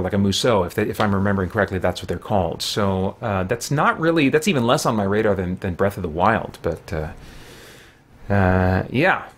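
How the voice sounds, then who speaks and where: Guy in his 40s chatting on a game stream, mid voice, kinda halting, thinking out loud. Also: medium pitch. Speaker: video game streamer and podcast host. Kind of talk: casual livestream gameplay commentary. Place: small room at home, indoors.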